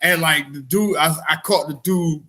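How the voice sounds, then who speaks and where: High-voiced man in casual chat. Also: normal speed, pushed and bright, faint music behind. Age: 40s. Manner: slangy, informal, swears freely. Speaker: podcast co-host.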